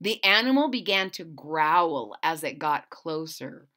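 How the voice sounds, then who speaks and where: A woman's voice: deep voice, animated, unhurried, bright, forceful tone. A woman reading a children's story aloud expressively, with asides and questions to her listeners. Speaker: storybook reader.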